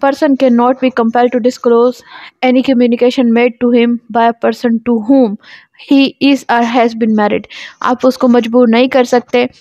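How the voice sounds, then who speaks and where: A woman's voice: fairly high pitch, flat delivery, very slow, mellow, no room sound, lo-fi sound. A woman with a Pakistani accent giving an explanatory law lecture, reading aloud and explaining in a didactic way.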